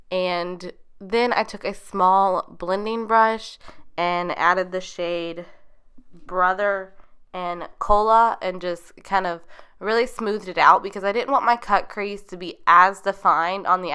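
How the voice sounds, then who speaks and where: A young woman with a slightly nasal voice from a recent cold, talking through a makeup look in a chatty, rambling, upbeat way. Her tone is bright and very clear, with an even, mid-height pitch. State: getting over being sick. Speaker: beauty vlogger. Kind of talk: makeup tutorial voice-over.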